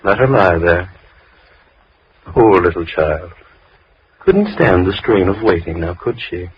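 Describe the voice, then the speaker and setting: A man's voice: deep voice, very animated, slow, exaggerated voice. An actor playing a deranged, sinister killer who taunts his captives with mock tenderness in 1930s radio horror style. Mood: mock tender. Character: deranged, sinister killer gloating over captive young women. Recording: muffled, noisy 1930s radio recording.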